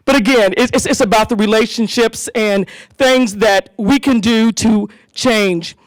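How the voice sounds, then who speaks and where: A woman hosting a school community safety event, giving an animated speech to parents and leading call-and-response chants. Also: fairly high pitch, slow pace, no room sound.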